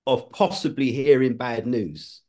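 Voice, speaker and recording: A man's voice: medium pitch, lively intonation, measured pace, darkish tone. Thoughtful man talking as a guest. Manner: thoughtful, restarts phrases. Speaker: guest, former TV producer. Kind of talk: casual conversation.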